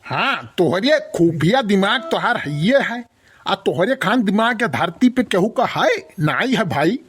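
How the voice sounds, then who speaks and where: A man's voice: high voice, big pitch swings, unhurried, a bit bright, husky, exaggerated voice. A man voicing a scolding, sarcastic village father in a comic cartoon, with an Awadhi accent. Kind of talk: comic rustic cartoon dialogue.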